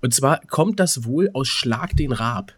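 A man's voice: medium pitch, animated, unhurried, breathy edge, no echo. Guy in his late 20s just chatting on a podcast, rambly, trips over words, dry close sound. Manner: rambling, stuttering word repeats. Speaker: podcast co-host. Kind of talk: casual chat, telling anecdotes.

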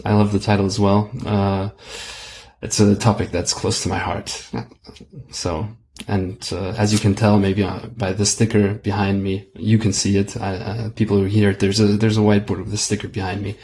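A man's voice: on the low side, level tone, moderate pace, darkish tone, slight background noise. A man being interviewed as a guest, talking casually over a video call and hesitating with many fillers. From Germany.

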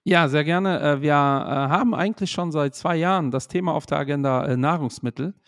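A man's voice: on the high side, brisk, no echo, clean studio sound. A man hosting an investing podcast from his home office, with upbeat greetings and a lot of fillers. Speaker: host. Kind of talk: podcast chat.

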